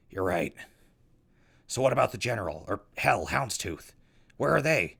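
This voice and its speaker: An actor in an audio drama playing a gruff, exasperated and weary wasteland survivor with a raspy voice. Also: male voice, lowish voice, sing-song, takes it slowly, a bit bright, heavily acted. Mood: weary, earnest.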